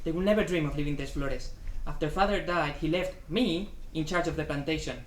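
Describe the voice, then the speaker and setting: A woman's voice: low-pitched, brisk, natural voice. A woman acting a proud, stubborn Cuban husband in a dramatic play reading, emphatic and domineering, with Spanish endearments mixed in. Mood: firm. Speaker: student actor. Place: indoor room, live play reading. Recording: echoey room with faint background noise.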